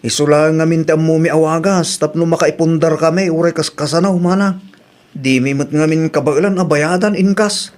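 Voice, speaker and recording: A man's voice: a little high, steady tone, normal speed, darkish tone, natural voice. A man plays an agreeable young Ilocano husband in an audio drama. He is modest and easygoing, speaking with fond devotion as a family man. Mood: modest, reassuring.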